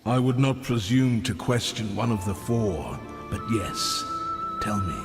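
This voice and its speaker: A weary ancient maker, slow and solemn. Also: male voice, lowish voice. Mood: grave. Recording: game cutscene with reverb and music.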